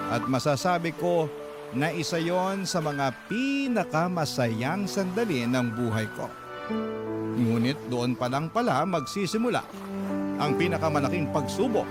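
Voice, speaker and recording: A man's voice: mid-range voice, lightly acted. A man playing a young husband who earnestly narrates his family story in a radio drama. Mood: happy, then ominous. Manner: earnest, confiding. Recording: music behind the voice.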